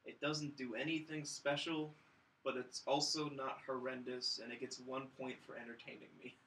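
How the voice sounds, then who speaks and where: A man's voice: medium pitch, level tone, normal speed, fairly bright tone, rough recording. A man on a film review podcast, recapping casually with a sarcastic, mock-grandiose comic delivery. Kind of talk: casual comedic plot recap.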